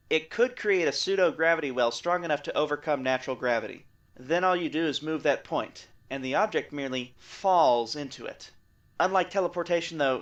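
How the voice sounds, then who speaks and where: A man playing an excitable scientist in an audio drama, explaining technical things in a wry way. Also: fairly high pitch, dull audio. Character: excitable male scientist explaining space physics.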